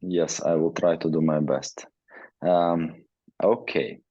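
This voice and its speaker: A man from Ukraine giving a webinar talk in halting English, with frequent fillers. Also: rumbling low, steady tone, warm tone, breathy edge, dry recording, dull audio. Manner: halting non-native English, many fillers. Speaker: guest presenter, weightlifting researcher. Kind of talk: webinar presentation. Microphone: video call.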